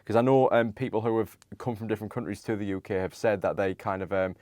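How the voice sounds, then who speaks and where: A young man hosting a podcast, asking long, halting questions full of "um"s and restarts. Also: fairly low pitch, fairly even intonation, darkish tone, no echo, clean studio sound. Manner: halting, many "um"s and stammered restarts. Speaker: podcast host. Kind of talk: casual interview conversation.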